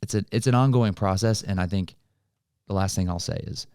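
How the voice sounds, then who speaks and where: Low-voiced man, casual coaching chat. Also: brisk, a little mellow, clear, resonant tone, no echo, clean studio sound. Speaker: podcast host. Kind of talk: casual conversational motivational chat.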